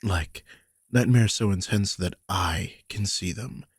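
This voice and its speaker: Man acting as troubled vampire king, breathy husky voice, unhurried pace, protective, guilty, audio roleplay. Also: low voice, no echo, studio quality, heavily acted. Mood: guilty, confiding. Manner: confiding, weary, sighing, swears. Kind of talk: dramatic audio roleplay, one side of a conversation.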